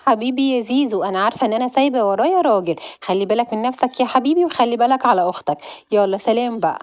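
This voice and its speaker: A woman playing a hurried caring mother. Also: on the high side, no echo, close to an everyday voice.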